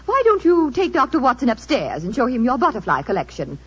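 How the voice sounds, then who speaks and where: Arch, animated British society wife. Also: female voice, on the high side, brisk, slightly rough, exaggerated voice. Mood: reproving. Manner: arch, reproving. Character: genteel, disdainful English wife, scolding her husband. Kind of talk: 1940s radio acting. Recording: muffled, noisy 1940s radio recording.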